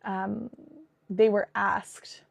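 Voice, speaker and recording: A woman in her thirties with a cold, hosting her podcast with an earnest, animated manner and a hoarse, raspy voice. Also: mid-range voice, extremely slow, no room sound, studio quality. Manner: earnest, animated, with frequent fillers and restarts. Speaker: podcast host and spiritual business coach. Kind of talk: casual spoken monologue.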